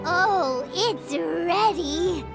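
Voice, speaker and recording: A woman voicing a tiny, chirpy female fairy companion in a video game, squeaky, childlike and bubbly, an eager little foodie. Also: lively intonation, drawn-out words, gravelly, exaggerated voice. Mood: excited. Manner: bubbly, refers to self in third person. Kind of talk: animated video game voice acting. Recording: game music behind the voice.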